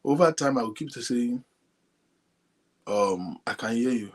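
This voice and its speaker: Male show host, husky and quiet, talks straight to viewers at home. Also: mid-range voice, unhurried. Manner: speaks softly, animated, addresses viewers directly. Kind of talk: casual talk to a live audience. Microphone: phone linked to a computer.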